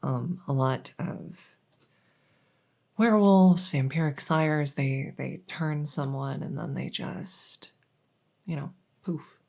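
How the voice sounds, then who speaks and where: A woman playing a kindly, rambling vet in an ASMR audio roleplay, explaining things softly and hesitantly with lots of reassurance. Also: low-pitched, takes it slowly, gravelly, heavily acted. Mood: reassuring, explanatory. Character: kindly, rambling female vet for supernatural patients. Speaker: voice roleplay performer.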